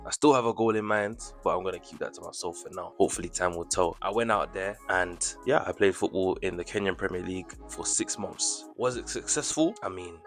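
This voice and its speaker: A young man from the UK talking casually to camera about his time playing football abroad, chatty and animated, with slangy asides to the viewer. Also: sits low, fairly quick, few pauses, faint music behind. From United Kingdom. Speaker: vlogger and footballer.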